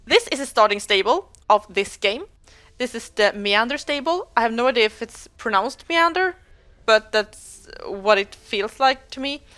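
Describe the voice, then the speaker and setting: A woman's voice: on the high side, a bit bright. Woman narrating gameplay. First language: Swedish. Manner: chatty, explanatory, self-correcting. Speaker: gaming video host. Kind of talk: let's play walkthrough commentary.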